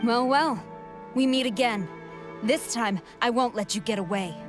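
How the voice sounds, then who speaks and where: A woman playing a cold, haughty general in a video game, speaking in a measured, imperious, formal way. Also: a little high, over music, natural voice. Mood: smug challenge. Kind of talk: scripted video game dialogue.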